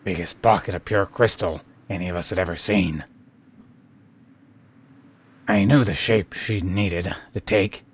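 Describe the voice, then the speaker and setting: Husky, deep-voiced devout sculptor. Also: male voice, a little slow, long pauses, full character voice. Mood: solemn, reverent. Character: reverent, secretive sculptor devoted to a crystal goddess. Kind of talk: fantasy video game character dialogue.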